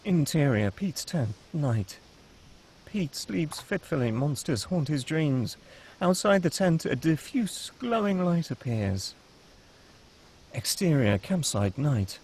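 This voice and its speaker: A man's voice: a little high, very animated, slow, darkish tone, slight background noise. A man reading stage directions as the narrator of a screenplay, in a dramatic scripted style.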